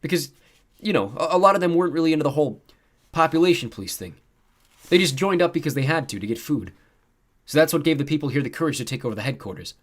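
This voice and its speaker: A man voicing an earnest, easily exasperated teenage boy who explains things haltingly and insistently as an amateur newscaster in a story. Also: fairly high pitch, animated, voice pushed up.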